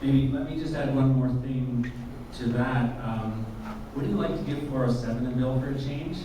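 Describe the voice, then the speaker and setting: A city staff member answering questions from the public at a community meeting, halting and restarting often. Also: male voice, medium pitch, background noise. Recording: echoey arena from far off.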